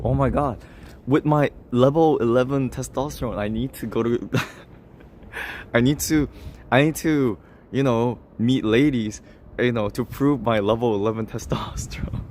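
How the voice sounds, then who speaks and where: Young guy streaming, chatting w chat, lots of laughing, lowish voice, faint hum behind. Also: a little mellow. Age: 20s. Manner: laughs often, self-mocking, talks straight to chat. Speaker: livestreamer. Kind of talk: casual livestream chat reading comments.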